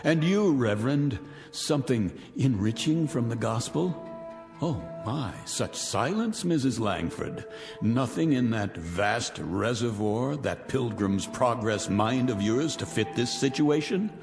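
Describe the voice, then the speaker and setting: A man's voice: medium pitch, expressive, a little slow. A middle-aged actor playing a vindictive rich man taunting his guests in 1960s television drama style, mocking and grandiloquent. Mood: scornful, mocking. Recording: vintage TV soundtrack, reverberant, music behind.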